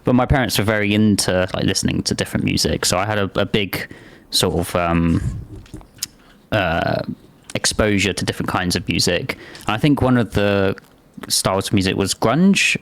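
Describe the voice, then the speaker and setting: A man in his thirties, a guest musician, talking in a relaxed, plainspoken way. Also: deep voice, moderate pace, creaky. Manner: relaxed, plainspoken, with many fillers. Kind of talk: casual interview chat.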